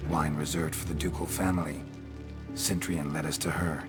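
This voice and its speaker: An actor playing a terse, world-weary monster hunter in a fantasy game, with a dry, clipped, blunt delivery. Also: male voice, very deep voice, little pitch movement, moderate pace, over music. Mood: matter-of-fact. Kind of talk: understated fantasy game acting.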